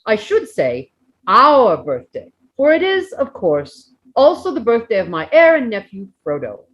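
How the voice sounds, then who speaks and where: Woman acting out jovial old hobbit gentleman, highish swooping voice, oratorical, deliberate, storybook speechmaker. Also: wildly swooping pitch, muffled recording. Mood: ceremonious, measured. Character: elderly male hobbit giving a jovial birthday speech. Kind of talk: storybook reading.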